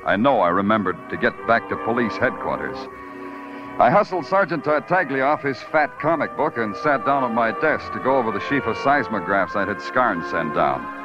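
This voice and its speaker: An actor playing a wry, hardboiled New York police detective in 1940s radio noir, dry, clipped and sardonic. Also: male voice, medium pitch, sing-song, moderate pace, close to an everyday voice. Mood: brooding narration. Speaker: lead actor and narrator. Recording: muffled old radio recording with music.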